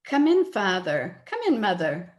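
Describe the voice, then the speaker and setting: A woman's voice: medium pitch, big pitch swings, measured pace. A woman playing a sweet, earnest young daughter in love in an old-time radio drama. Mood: pleading. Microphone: video call.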